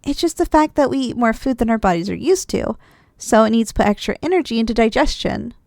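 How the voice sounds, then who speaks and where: Woman as sleepy, loving young-sounding wife for cuddly ASMR roleplay: soft, teasing, very animated pitch, close dry sound. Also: quick pace, creaky, no room sound. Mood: teasing, fond. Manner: soft, affectionate, teasing, with sighs and giggles. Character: affectionate, drowsy, playful wife cuddling after a big dinner. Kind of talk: ASMR roleplay, intimate one-sided dialogue.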